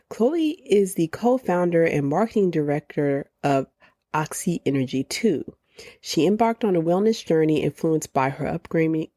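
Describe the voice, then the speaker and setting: A woman hosting a podcast, reading a slow, deliberate introduction before her interview. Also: sits low, dark tone, no room sound, clean studio sound. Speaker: podcast host. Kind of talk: scripted intro, then interview questions.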